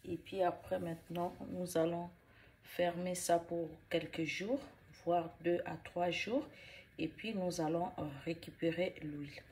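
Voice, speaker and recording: A woman's voice: fairly low pitch, fairly even intonation, measured pace, darkish tone, slight background noise. A woman with a cold and a cough, casually demonstrating a hair care recipe at home and rambling with many fillers.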